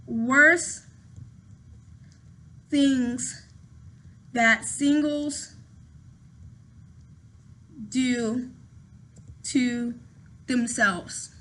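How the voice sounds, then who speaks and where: A woman in her thirties with a high, bright voice that carries a sharp edge. She chats to her viewers from her apartment in a loose, rambling way, circling back and repeating phrases as she goes. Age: 30s. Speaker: vlogger. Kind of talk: casual talk to camera.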